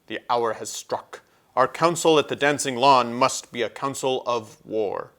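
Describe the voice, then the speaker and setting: Man voicing a booming, proud centaur prophet. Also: unhurried, a bit bright. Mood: solemn, prophetic. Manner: solemn, declamatory, commanding.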